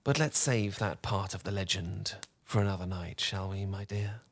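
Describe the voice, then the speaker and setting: A man playing a charming, theatrical wizard who tells a bedtime tale softly and intimately, with dramatic pauses, in an ASMR roleplay style. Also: low voice, steady tone. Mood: tender, intimate. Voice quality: soft-spoken. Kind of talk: soft-spoken ASMR roleplay storytelling.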